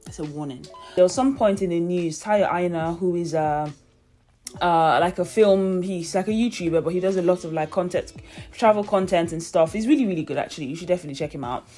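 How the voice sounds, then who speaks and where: A woman's voice: on the low side, a little fast, dark tone, soft background music. A young woman from Nigeria who speaks with a British accent, chatting casually and rambling on her vlog with lots of fillers and restarts. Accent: British, with a light Nigerian tinge. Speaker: YouTuber.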